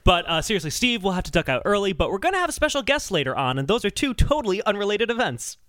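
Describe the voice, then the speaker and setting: A man hosting a podcast in casual, comedic discussion with animated, exasperated emphasis. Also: sits high, fast talker, no echo, clean studio sound. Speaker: podcast host.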